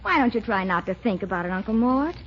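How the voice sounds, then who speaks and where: An actress playing a sensible young American niece, calm and gently chiding, in 1940s radio comedy style. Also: female voice, medium pitch, fast, close to an everyday voice. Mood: reassuring, amused. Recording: muffled, noisy 1940s radio broadcast.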